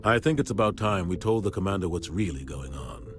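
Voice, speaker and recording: Game military captain: low register, firm, earnest authority, briefing tone, prominent music behind. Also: male voice, lively intonation, a little fast. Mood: grave, earnest. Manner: grave, firm, explanatory. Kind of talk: video game cutscene acting.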